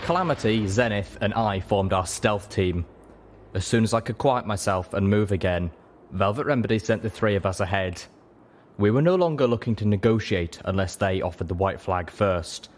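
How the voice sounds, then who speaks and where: A man reading a dramatic story as an audiobook narrator, measured, solemn and emotive. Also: lowish voice. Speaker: audiobook reader.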